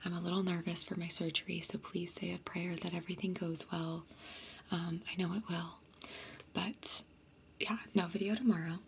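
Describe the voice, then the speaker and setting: Whispering woman with a gravelly low voice. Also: little pitch movement, moderate pace, slight background noise. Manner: chatty, many fillers. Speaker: vlogger. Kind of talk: casual storytime to viewers.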